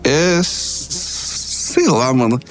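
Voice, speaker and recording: A man's voice: on the high side, sing-song, very slow, music in the background, rough recording. A man with a Brazilian accent, a professional player speaking informally and hesitantly in a reflective interview.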